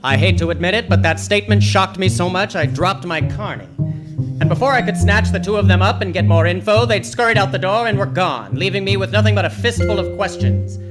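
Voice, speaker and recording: A man's voice: high-pitched, brisk, lightly acted. An actor playing a wry, wisecracking hard-boiled male library detective, narrating and talking in a noir radio drama. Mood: noir narration. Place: theater stage. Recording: loud music under voice. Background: plucked guitar music.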